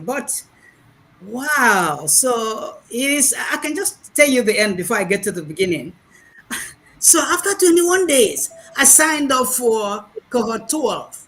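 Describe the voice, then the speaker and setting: A woman in her sixties with a Nigerian accent, giving a chatty, halting speech over a video call at an online graduation. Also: high voice, rough recording. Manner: chatty and animated, restarts and repeats words, hesitant fillers. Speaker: graduating program participant, retired math lecturer.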